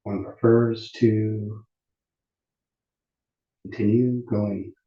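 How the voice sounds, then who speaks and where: A man teaching meditation at a retreat in a calm, deliberate, unhurried way with long pauses between phrases. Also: lowish voice. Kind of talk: guided meditation instruction at a retreat. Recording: muffled, roomy echoing sound.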